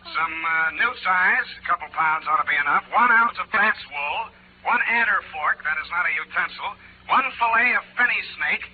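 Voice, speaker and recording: An actor playing a brisk, bossy, wry hard-boiled private detective in a 1940s radio mystery. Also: male voice, high-pitched, lively intonation, husky. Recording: muffled, tinny 1940s radio recording.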